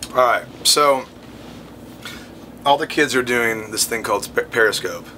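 A man's voice: medium pitch, animated, measured pace, sharp tone, slight background noise, rough recording. A man in his thirties losing his voice, talking earnestly into a phone on a tour bus after a show. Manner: earnest, rambling, with fillers and restarts. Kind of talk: casual livestream talk. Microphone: phone held at arm's length.

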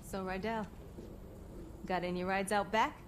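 A woman's voice: mid-range voice, steady tone, measured pace, background noise, close to an everyday voice. Actress as cheeky, wry young female hustler. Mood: persuasive, breezy. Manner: wry, teasing, slangy. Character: cheeky, self-assured young woman, wheeler-dealer racing fan. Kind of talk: video game voice acting, casual banter.

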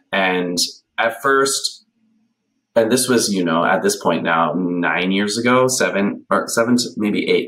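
Brief: man in his thirties, deep voice, animated podcast guest on a video call, chatty with many ums. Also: rough recording. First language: English. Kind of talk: casual conversational storytelling. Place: small bright room at home. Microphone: headphones on a video call.

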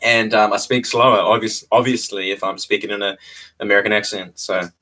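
A young man with a New Zealand accent chatting on a webcam stream from a small bare room. His voice sits fairly low but sounds bright and forward, and his delivery is easygoing, with frequent chuckles and phrases said twice. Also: level tone, brisk, rough recording. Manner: laid-back, chuckles often, repeats phrases. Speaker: vlogger hosting a livestream. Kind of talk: casual livestream chat answering viewers. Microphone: webcam at desk distance.

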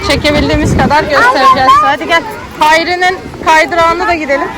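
A woman's voice: high voice, sing-song, fast talker. A woman narrating a casual family vlog for children, speaking directly with frequent greetings and prompts. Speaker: family vlogger and mother filming her child. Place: crowded outdoor children's theme park. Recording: noisy crowded outdoor recording, loud background. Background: loud crowd and outdoor ambience.

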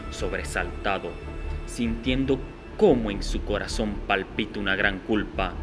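Male narrator, low voice, dramatic scripted radio soap narration, prominent music.

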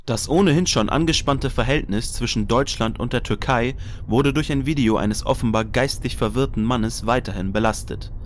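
A man's voice: mid-range voice, normal speed, a little hiss or hum, natural voice. A man playing a formal news reporter, reading a scripted parody bulletin. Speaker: newsreader.

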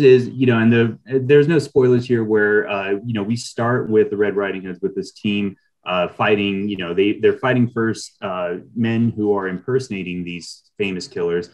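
A man's voice: on the low side, normal speed, darkish tone, muffled recording. A middle-aged man giving thoughtful interview answers as a writer on a video call.

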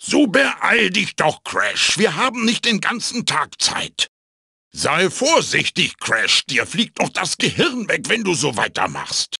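An actor voicing a wise, bossy old magical wooden mask in a video game, raspy and theatrical, urging and instructing like a mentor. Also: male voice, sits high, wildly swooping pitch, takes it slowly, pushed and bright, no room sound, studio quality, full character voice. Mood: impatient, urging. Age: older. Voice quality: raspy, gravelly. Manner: theatrical, emphatic, exhorting. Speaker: guide and tutorial mentor. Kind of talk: video game character voice acting.

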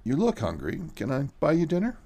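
An actor playing a kindly but haunted reformed man in a radio drama, speaking earnestly and a little haltingly. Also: fairly high pitch, huge pitch swings, mellow.

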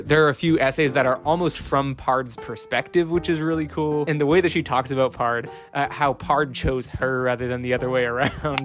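A young man in his twenties hosting a casual book review vlog, talking earnestly with fillers and restarts into a close studio mic in a small home room. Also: medium pitch. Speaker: book channel host. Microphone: boom-mounted studio mic close in front.

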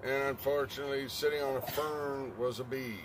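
A man talks riders through an outdoor tourist train ride, folksy and wry, cracking small jokes between warnings. His voice sits a little away from the mic. Loud engine and outdoor noise runs underneath. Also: medium pitch, fairly even intonation, normal speed, distant mic. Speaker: tour train operator. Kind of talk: joking tour guide patter.

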